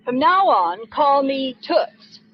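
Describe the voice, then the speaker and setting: A woman playing a reserved young librarian in 1940s radio drama, speaking every word clearly and precisely. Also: sits high, big pitch swings, slow, over loud music, full character voice. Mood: coy. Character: prim young female librarian turning bold. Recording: dull lo-fi 1940s broadcast with faint hiss.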